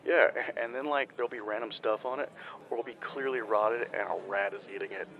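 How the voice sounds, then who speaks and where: Man playing a low-voiced slacker dude, muffled. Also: brisk, natural voice. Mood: baffled, casual. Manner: casual slacker talk. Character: laid-back, baffled young dude calling a hotline. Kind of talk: scripted audio drama. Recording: dull, muffled phone-call sound.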